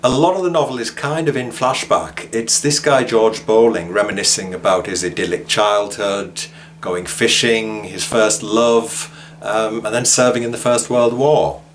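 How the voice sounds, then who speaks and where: Male presenter, low voice, informal documentary commentary. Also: measured pace, a little hiss or hum. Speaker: author and presenter.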